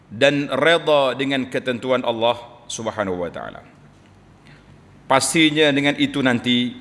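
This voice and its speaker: A middle-aged man with a Kelantan Malay accent, giving a Friday sermon from a mosque pulpit and swinging between loud rises and low, quiet drops. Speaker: preacher. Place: large mosque hall, indoors. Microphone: pulpit microphone on a stand. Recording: long reverb from a large mosque hall.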